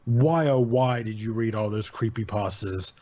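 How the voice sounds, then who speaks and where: A man's voice: lowish voice, moderate pace, warm tone. A man hosting his channel, reading a short creepypasta aloud in a casual tone and stopping to comment on its grammar errors. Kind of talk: casual story reading with asides. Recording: dull lo-fi sound.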